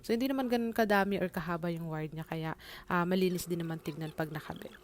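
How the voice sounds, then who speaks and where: Fast-talking Filipino woman vlogger, noisy background. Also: fairly low pitch. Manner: informal Tagalog-English code-switching. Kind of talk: casual unboxing and review chat to viewers.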